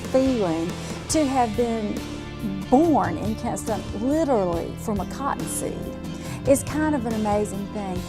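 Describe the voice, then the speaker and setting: A woman with a fairly high, lively, sing-song voice speaks very slowly and expressively. Clear music plays under her words. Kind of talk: documentary interview.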